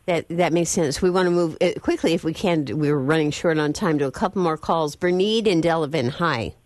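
Brisk low-voiced female radio host. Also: darkish tone, no room sound. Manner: brisk, keeps the show moving. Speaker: radio talk show host. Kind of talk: public radio call-in hosting.

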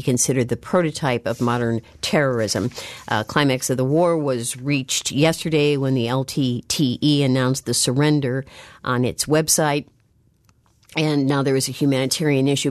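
A woman hosting a public radio call-in talk show, measured and slow when reading out details. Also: a bass voice, dry recording. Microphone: studio mic.

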